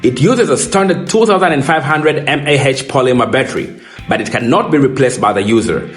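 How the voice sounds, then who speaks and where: A man reading a scripted question-and-answer product explainer in a measured, explanatory way as a presenter. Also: mid-range voice, expressive, echoey room, soft background music.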